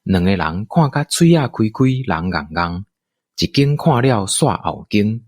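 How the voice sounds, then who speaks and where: A male narrator tells a radio-play story in a Taiwanese accent. His low, slightly husky voice moves in lively swings of pitch, close and dry. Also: unhurried, no echo. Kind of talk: scripted radio drama storytelling.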